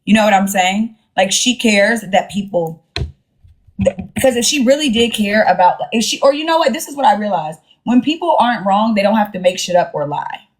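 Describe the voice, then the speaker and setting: A woman's voice: medium pitch, brisk, poor audio. A woman hosting a casual live stream, talking in a blunt, sassy, slangy way with plenty of swearing. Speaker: livestream host.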